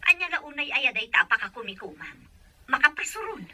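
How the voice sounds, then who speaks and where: A woman's voice: high-pitched, very animated, quick pace, sharp tone, heavily acted. A woman playing a jealous, easily offended partner in a serial drama. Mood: irritated. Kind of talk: Ilocano radio-style serial drama acting.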